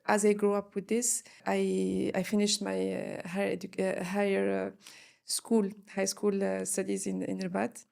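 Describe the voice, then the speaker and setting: A woman's voice: medium pitch, completely flat delivery, unhurried, gravelly, darkish tone. A woman from Morocco, a guest on an interview podcast, talking with many fillers and restarts.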